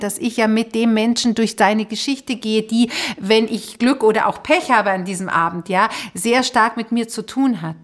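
A woman hosting a reflective podcast conversation about her work, speaking thoughtfully and haltingly as she thinks aloud. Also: a little high. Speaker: podcast host and theater maker.

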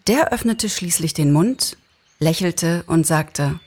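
A woman narrating a story in an expressive, scripted reading. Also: lowish voice, slow, husky. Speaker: narrator, actress.

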